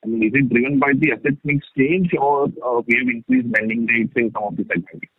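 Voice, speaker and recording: Male analyst caller, creaky husky voice, questioning delivery, muffled narrow phone line. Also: medium pitch, very animated, fairly quick, no echo. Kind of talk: questioning on an earnings call. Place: phone call.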